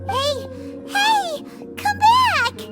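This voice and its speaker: A tiny, childlike fairy companion in a game. The voice is extremely high and squeaky, bouncing up and down in pitch. It is curious and exclamatory, with music clearly behind it. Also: female voice, very animated, drawn-out words, full character voice. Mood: alarmed. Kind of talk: video game voice acting.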